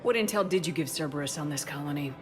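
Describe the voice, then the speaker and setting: Very low-voiced female commander woman acting. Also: fast talker, lightly acted. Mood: stern. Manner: direct, controlled. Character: tough, composed female military commander, firm and guarded. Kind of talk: video game voice acting. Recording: music behind the voice.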